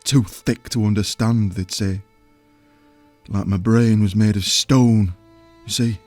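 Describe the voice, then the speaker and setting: Slow, deep-voiced gentle simple giant. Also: male voice, fairly even intonation, dry recording, faint music behind. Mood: wistful. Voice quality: rustic folksy speech. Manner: halting, plain-spoken, earnest. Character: huge simple gentle potion-brewer man, childlike, wounded. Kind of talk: audio drama character acting.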